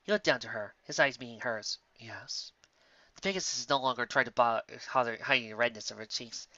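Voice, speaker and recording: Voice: mid-range voice, fast talker, sharp tone, highs cut off. A narrator reading a scripted story expressively. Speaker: narrator, fan fiction reader.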